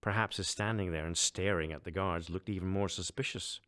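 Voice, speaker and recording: Low-voiced man narrating a story. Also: fairly even intonation, brisk, a bit bright. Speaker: audiobook narrator.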